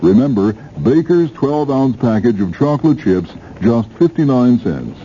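Dark, mellow-voiced man reading radio ad. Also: mid-range voice, big pitch swings, measured pace. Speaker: radio announcer. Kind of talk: scripted local supermarket ad read. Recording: muffled, hissy 1970s radio recording.

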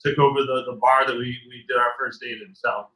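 Bright-voiced man in a roomy space. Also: medium pitch, a little fast. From Massachusetts, South Shore. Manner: storytelling, relaxed with fillers. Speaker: guest, business co-founder. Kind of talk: casual interview chat.

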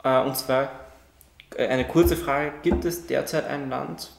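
Brief: male interviewer, gravelly, monotone, stumbles through questions, echoey room. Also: mid-range voice. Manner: halting, restarts his questions. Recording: roomy echo with faint noise.

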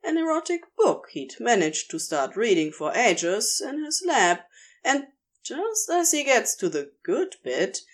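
Casting: woman narrator for audio fiction, leisurely delivery with sweeping, animated intonation. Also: mid-range voice. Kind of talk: expressive scripted story narration.

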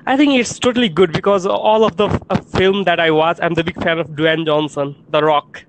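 A man from Nepal speaks English with a Nepali accent, choosing his words carefully and sometimes haltingly. English is not his first language. His voice sits a touch high. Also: steady tone, low-quality recording. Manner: careful, halting non-native phrasing. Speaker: college lecturer. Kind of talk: casual conversation.

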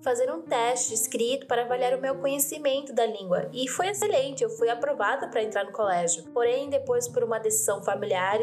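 A woman in her twenties from the Brazil-Uruguay border, native in Portuguese and Spanish, telling her own story in a reflective, measured way. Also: fairly high pitch, a little mellow. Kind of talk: personal storytelling.

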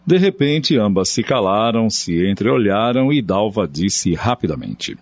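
Um homem brasileiro que narra uma radionovela, lendo um texto roteirizado. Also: male voice, lowish voice, sing-song, a little slow. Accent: Brazilian. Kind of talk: scripted radio drama narration. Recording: dull, lo-fi recording. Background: faint music.

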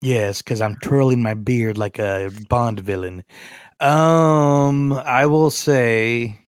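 A man chatting casually as a podcast co-host in a medium-pitched voice, recorded dry with very little room sound. He talks informally and jokes easily, often at his own expense. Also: slow pace, clear, resonant tone, no room sound. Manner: informal, joking, self-deprecating.

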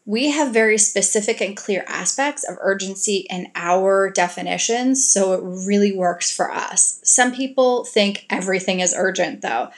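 A woman's voice: medium pitch, expressive, normal speed, hardly any pauses. Earnest woman coaching on relationships. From grew up in a Greek family. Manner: earnest, gently coaching, acts out sweet example lines. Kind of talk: advice talk to an audience.

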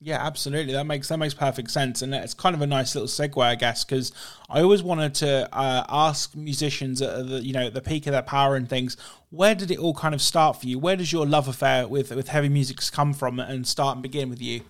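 A man's voice: medium pitch, fairly even intonation, a little fast. Interviewer: man with a mid-range voice, chatty, upbeat, fond of filler. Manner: enthusiastic, lots of "you know" and "absolutely". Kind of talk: casual interview chat.

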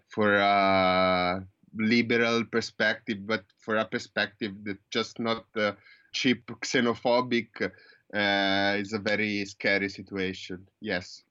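A man's voice: fairly low pitch, a bit bright, clean tone, dry recording. Hesitant Italian man in his twenties. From Italy. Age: 20s. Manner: hesitant, many fillers and restarts. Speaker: podcast guest. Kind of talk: casual interview answers. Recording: dull lo-fi dry sound.

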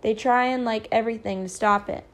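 A man's voice: high voice, fairly even intonation, moderate pace, fairly bright tone. A woman voicing an earnest, slightly puzzled country boy in an audiobook. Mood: earnest, matter-of-fact. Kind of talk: audiobook character dialogue.

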